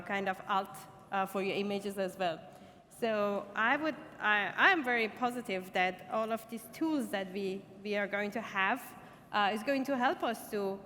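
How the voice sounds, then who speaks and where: Woman on a panel, bright voice, echoey hall. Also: mid-range voice, a little hiss or hum. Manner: positive, halting. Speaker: panel speaker. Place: large hall, conference stage. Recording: hall reverb, faint ambience.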